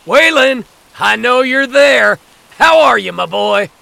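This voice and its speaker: A man playing an older, ruthless crime boss in an audio drama, taunting people with mock affection in a gravelly voice. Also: very high voice, lively intonation, slow pace, bright, forceful tone, full character voice. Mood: mock-friendly.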